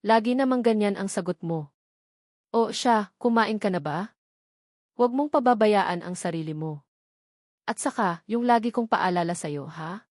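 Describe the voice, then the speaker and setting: Female narrator as anxious older mother, admonishing, storybook dialogue, mid pitch. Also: unhurried, long pauses, darkish tone, no room sound, clean studio sound, close to an everyday voice. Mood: worried, nagging. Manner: fretful, admonishing. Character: worried, nagging older mother of a doctor.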